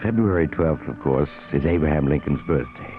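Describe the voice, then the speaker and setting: Deep, sinister radio storyteller. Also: male voice, heavy background noise. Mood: sinister, inviting. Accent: American. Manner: ominous, deliberate, teasing menace. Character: sinister mysterious traveler, male storyteller of eerie tales. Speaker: narrator and host. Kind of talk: 1940s radio mystery narration. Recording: tinny old radio recording with music.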